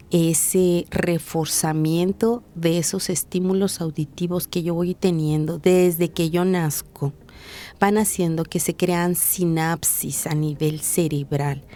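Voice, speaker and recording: A woman physician with a Mexican Spanish accent, explaining patiently and didactically in an interview, slowing down to stress key points. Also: fairly low pitch, mellow. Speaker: physician, hearing and speech specialist.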